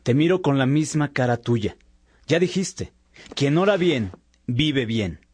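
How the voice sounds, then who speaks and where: Actor as husky, frank, concerned bishop. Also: male voice, mid-range voice, animated, takes it slowly, a little mellow, no room sound. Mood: gently admonishing. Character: Posidio, concerned, frank friar-bishop and loyal friend. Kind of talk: religious radio drama dialogue.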